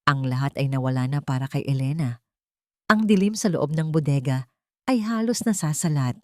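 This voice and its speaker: A woman's voice: sits low, expressive, normal speed, dark tone, dry recording, studio quality. A woman reading a scripted story as a dramatic narrator.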